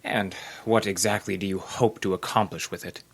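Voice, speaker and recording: A man's voice: low-pitched, fairly even intonation, normal speed, vocal fry, breathy edge, full character voice. A man playing a smug, condescending sanitarium doctor in an old-time radio drama, with an unctuous, theatrical delivery. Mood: wary, smooth. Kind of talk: old-time radio acting before a live audience.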